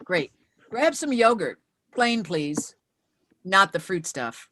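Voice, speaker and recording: A woman playing a brisk widowed mother working as an investigator in a screenplay table read. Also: mid-range voice, big pitch swings, fairly bright tone, exaggerated voice. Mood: dry, weary.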